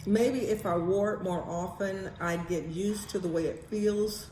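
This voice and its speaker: A woman's voice: medium pitch, measured pace, a little mellow. An American woman telling personal stories in a casual, rambling vlog style, candid and blunt as she thinks aloud. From United States. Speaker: vlogger. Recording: roomy echo, faint background noise.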